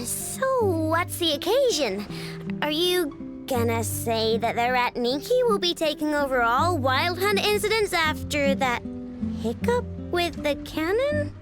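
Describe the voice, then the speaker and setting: A woman's voice: high-pitched, very animated, takes it slowly, over music, heavily acted. A woman voicing a proud, prickly young girl inventor in a video game. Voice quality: bratty, boastful.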